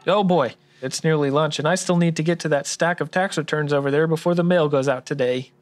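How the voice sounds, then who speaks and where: A man's voice: a little high, steady tone, a little fast, background noise, close to an everyday voice. A man playing an eager, folksy accountant with a theatrical manner in an old-time radio drama. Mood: chipper. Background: music under the voice.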